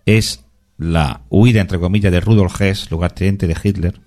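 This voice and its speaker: A man hosts a talk show discussion, asking questions conversationally. His voice sits low in pitch. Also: fairly even intonation, moderate pace, a little mellow.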